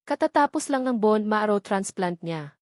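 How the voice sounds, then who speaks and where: A woman's voice: medium pitch, lively intonation, moderate pace, no room sound, clean studio sound, natural voice. A woman voicing a gossipy, bossy but loyal best friend with slangy, urgent delivery in a story narration. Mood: scolding. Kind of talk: dramatized novel narration.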